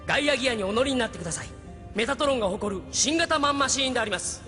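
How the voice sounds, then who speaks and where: Young male pilot: eager, dutiful, high animated voice, long reverb and music. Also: racing, exaggerated voice. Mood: formal, eager. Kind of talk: Japanese sci-fi anime audio drama acting.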